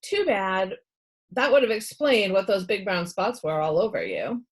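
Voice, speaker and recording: A woman voicing a small, round old male doctor who is excitable, blustery and absent-minded in a children's storybook. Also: mid-range voice, unhurried. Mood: excitable, curious.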